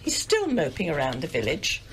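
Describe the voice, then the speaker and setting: A woman's voice: low-pitched, wildly swooping pitch, normal speed, a little hiss or hum, full character voice. Fussy, swooping older English mother. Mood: indignant. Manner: querulous, haughty, melodramatically aggrieved. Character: fussy, self-pitying, domineering middle-class English village mother. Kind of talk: radio drama acting.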